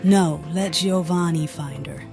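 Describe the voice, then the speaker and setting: A woman's voice: lowish voice, measured pace, music in the background. A woman playing a concerned, motherly villager in a medieval audio drama. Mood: firm, reassuring.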